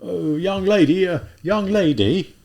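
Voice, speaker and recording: A man's voice: sits high, expressive, a little slow, gravelly, darkish tone, voice pushed up, heavily acted. A man voicing a kindly, fussy old policeman who frets with pity over a drunk girl. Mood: fretful pity. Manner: fretful, clucking pity.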